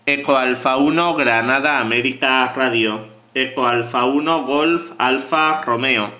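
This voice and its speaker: A man works as a ham radio operator. His voice is bright and forward at a middle pitch, and he calls out phonetic call signs and contact details. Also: a little slow.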